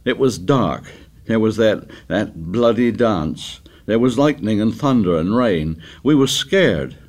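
An anxious, stubborn boy from an audiobook, played by a man. The voice is pushed up high and shrill. He talks in excitable, insistent bursts with rough, unschooled grammar, defensive and pleading. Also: a little slow. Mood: agitated, defensive.

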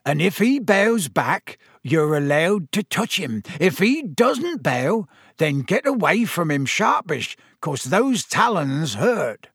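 Storybook role: huge, eager rustic creature-keeper played by a man, booming and folksy, very animated delivery. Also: slow pace, creaky, hardly any pauses, husky, dry recording, studio quality, heavily acted. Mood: earnest instruction. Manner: booming, folksy, ungrammatical speech. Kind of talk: audiobook character voice.

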